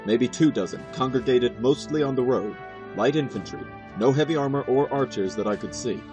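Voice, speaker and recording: Fantasy drama casting: steadfast male knight, actor-voiced, orderly military manner, clear music bed underneath. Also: medium pitch, normal speed, lightly acted. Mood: urgent, dutiful. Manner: formal, orderly reporting. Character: dutiful, formal male knight lieutenant. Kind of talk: fan audio drama acting.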